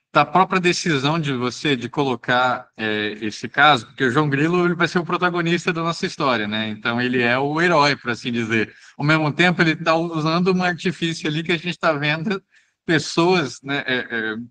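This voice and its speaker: Bright-voiced man asking hesitant questions. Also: fairly high pitch. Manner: hesitant questioning, searching for words. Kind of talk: online class discussion.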